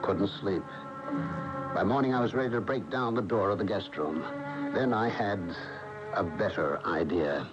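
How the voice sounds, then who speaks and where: An actor playing a jealous, coldly vengeful husband who narrates in a brooding, dramatic radio mystery style. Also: male voice, on the low side, moderate pace, lightly acted. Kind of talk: 1980 radio mystery acting, first-person narration. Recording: muffled AM radio broadcast, music behind.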